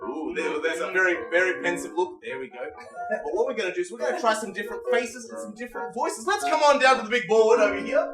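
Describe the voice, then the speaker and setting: A man's voice: sits high, animated, fast. A man hosting a live comedy show on stage with exclamatory, showman-like banter. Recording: distant echoey stage recording, loud music.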